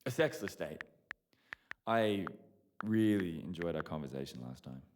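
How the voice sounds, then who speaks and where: An actor playing a charming, polite and apologetic young suitor in a naturalistic audio drama. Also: male voice, sits low, animated, measured pace, darkish tone. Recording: clearly noisy background.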